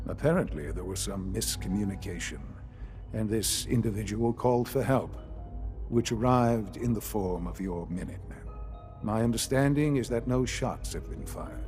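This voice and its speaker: Man acting a measured, low-voiced elderly leader. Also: a little mellow, lightly acted. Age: older. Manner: measured, formal, articulate. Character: calm, authoritative elderly male leader of a scientific enclave. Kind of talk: video game voice acting. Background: music.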